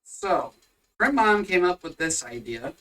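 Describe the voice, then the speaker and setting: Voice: medium pitch, sing-song, unhurried, bright, forceful tone, far from the mic, rough recording. A co-host of a casual home faith podcast, rambling and teasing, tired and yawning. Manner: rambling, teasing, easily sidetracked. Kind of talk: casual religious chat podcast. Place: home room with pets.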